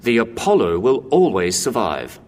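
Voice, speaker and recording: An actor playing a stern, loyal old senior cinema usher, speaking formally and emphatically in an audio drama. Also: male voice, on the low side, wildly swooping pitch, moderate pace, darkish tone. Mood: proud, assured. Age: older. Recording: reverberant hall, faint background noise.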